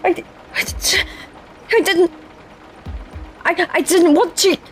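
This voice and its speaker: A woman voicing a shaken commander in an audio drama, halting and uneasy. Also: very high-pitched, steady tone, faint music behind.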